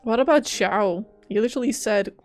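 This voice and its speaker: A woman's voice: fairly high pitch, moderate pace, darkish tone, faint music behind. A young woman doing casual live game commentary as a streamer, with offhand, jokey asides.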